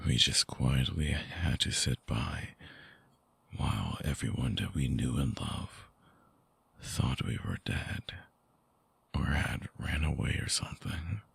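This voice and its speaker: A very deep-voiced male vampire, acted by a man, speaks slowly and tenderly to a lost lover. The voice is husky and breathy, often sinking toward a whisper, in an intimate, close-up ASMR roleplay. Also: a bit bright, no room sound, full character voice. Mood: sorrowful. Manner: halting, tender, intensely earnest. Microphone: close binaural microphone.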